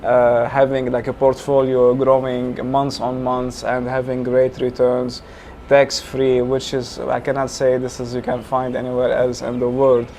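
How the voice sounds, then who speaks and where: A man's voice: medium pitch, monotone, measured pace, mellow. A young man with a Lebanese accent, a real estate businessman talking earnestly in a casual interview, with lots of fillers. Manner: frequent fillers, earnest, talkative. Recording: faint ambient noise.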